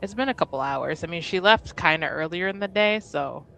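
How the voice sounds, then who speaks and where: Female RPG player, lowish voice, slangy and improvised delivery, faint background noise. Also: expressive, fairly quick, vocal fry, fairly bright tone. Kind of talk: casual improvised roleplay chat.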